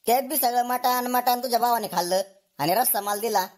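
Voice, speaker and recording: A woman's voice: fairly high pitch, a little fast, a little mellow, natural voice. A fed-up husband in a comedy cartoon, played by a woman. He grumbles and mocks his brother-in-law at every turn, with dry sarcasm and a complaining manner. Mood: exasperated. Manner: mocking, complaining.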